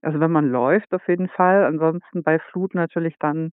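Man on a tinny line, slightly high voice. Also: steady tone, brisk, clean tone, no echo. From Saxony, Germany. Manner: thoughtful, explains at length. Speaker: author. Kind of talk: interview guest.

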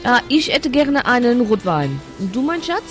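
Affected holiday wife voiced by a woman, high and lively. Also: normal speed, music in the background. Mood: teasing. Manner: teasing, affected. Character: vacationing wife putting on French airs.

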